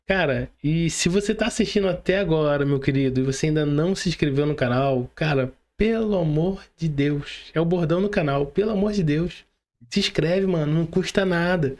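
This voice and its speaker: A man's voice: on the high side, a little fast, a little mellow. A man with a Brazilian Portuguese accent hosting a podcast interview in a casual, slangy way. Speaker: podcast host.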